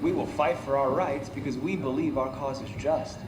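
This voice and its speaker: A man's voice: mid-range voice, moderate pace, background noise, lightly acted. Echoey, resolute android rebel leader played by a man. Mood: solemn defiance. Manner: declamatory, resolute. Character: solemn, defiant male android rebel leader. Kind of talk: broadcast speech in video game drama. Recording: echoey broadcast message under loud music.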